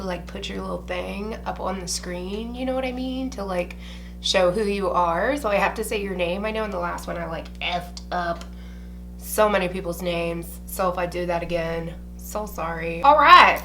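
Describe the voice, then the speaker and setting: A woman's voice: mid-range voice, moderate pace, fairly bright tone, slight background noise. A young woman from Ohio, chatting to camera in a blunt, casual way and swearing freely. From Ohio, USA. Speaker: beauty vlogger. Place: small indoor room with shelves behind.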